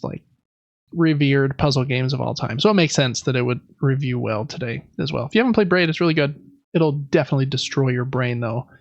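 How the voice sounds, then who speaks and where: A man's voice: medium pitch, creaky, no echo, lo-fi sound. A man hosting a podcast, chatting informally and with enthusiasm about games. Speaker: podcast host. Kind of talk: casual gaming podcast chat.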